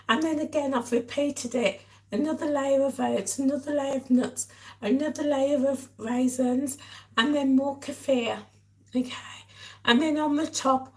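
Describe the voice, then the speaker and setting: A woman with a hoarse, croaky voice from a cold, chatting casually to live viewers as a vlog host. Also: high voice, poor audio. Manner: chatty, addresses viewers directly. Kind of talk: casual live-stream chat.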